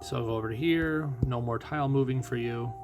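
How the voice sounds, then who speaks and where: A man giving casual game commentary as host of a playthrough, thinking out loud and putting questions to himself. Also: mid-range voice, a little fast. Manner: thinks out loud, asking and answering own questions. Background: music.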